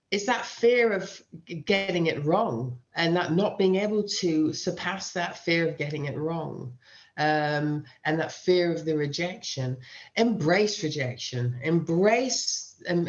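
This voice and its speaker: A middle-aged British woman who teaches writing, answering interview questions with emphatic advice and repeating key phrases for stress. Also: lowish voice. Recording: dull, lo-fi sound.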